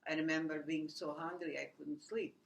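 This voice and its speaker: Brief: woman, 80s, gravelly fry, halting reminiscence. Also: sits low, level tone. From Latvia. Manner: halting, many restarts and "you know" fillers. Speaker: oral history interviewee. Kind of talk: rambling personal recollection.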